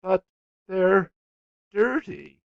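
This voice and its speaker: A man's voice: sits high, lively intonation, very slow, creaky, no room sound, muffled recording, pitched above the performer's own voice, heavily acted. A man voicing a tender, naive little girl in a story, asking childlike, earnest questions. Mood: doubtful.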